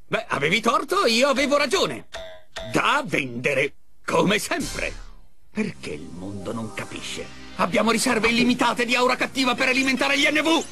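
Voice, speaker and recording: A man voicing a boastful, insecure inventor villain in an animated game, manic and whiny. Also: very high voice, normal speed, creaky, soft background music, exaggerated voice. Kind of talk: animated game cutscene dub.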